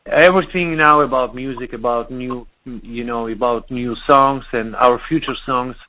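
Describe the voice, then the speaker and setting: A man with a Russian accent speaks in halting, non-native English over a thin, tinny phone line. He often stops to search for words, at an ordinary mid pitch. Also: steady tone. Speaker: DJ and producer.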